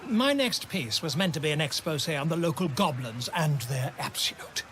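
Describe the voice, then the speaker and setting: A man's voice: on the high side, expressive, moderate pace, fairly bright tone, slight background noise. A man voicing a pompous, theatrical author full of self-importance in a fantasy video game. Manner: pompous, florid, declaiming. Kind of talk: video game dramatic acting.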